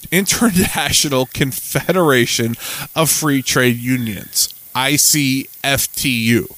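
A man's voice: mid-range voice, sharp tone, no echo. A man co-hosting a casual political podcast, blunt and swearing, stumbling over hard words as he reads aloud. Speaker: podcast co-host.

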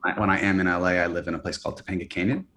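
A man's voice: deep voice, racing. A man in his early thirties, a company founder, talking casually in an interview with lots of fillers and restarts. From California and Hawaii. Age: early 30s. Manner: relaxed, hesitant, many fillers and restarts.

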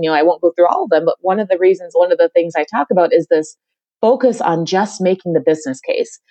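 A woman's voice: on the low side, darkish tone, dry recording. A middle-aged woman from the Caribbean, talking energetically as a podcast interview guest and often repeating her phrases. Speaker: guest, diversity consultant.

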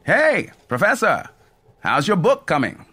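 A man's voice: high voice, huge pitch swings, measured pace, sharp tone, slightly rough, voice pushed up, exaggerated voice. A man voicing a cheerful, chatty old male train conductor with a folksy, teasing manner. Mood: jovial.

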